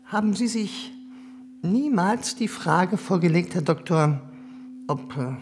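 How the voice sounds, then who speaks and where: An actor playing a cynical, condescending middle-aged German privy councillor in a radio play, with smooth talk and hesitant fillers. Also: male voice, sits high, lively intonation, slow, darkish tone, slight background noise, heavily acted.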